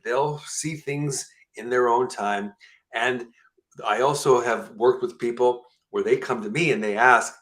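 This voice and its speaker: A man's voice: mid-range voice, fairly bright tone. Affable man in his sixties hosting. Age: 60s. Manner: affirming, rambling, fillers like "you know". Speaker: host. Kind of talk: casual interview chat.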